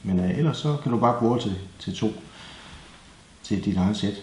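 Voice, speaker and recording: A man's voice: lowish voice, animated. A Danish man presenting a do-it-yourself tutorial in a casual, plain-spoken, explanatory way. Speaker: DIY presenter. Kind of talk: hands-on tutorial narration, casual. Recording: echoey room, faint background noise.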